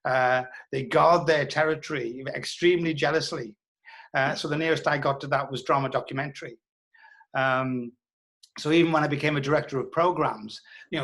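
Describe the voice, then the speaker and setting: A man's voice: mid-range voice, fairly bright tone, poor audio. A British man in his sixties, reminiscing as a guest in an interview. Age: 60s. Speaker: interview guest, former television producer and journalist.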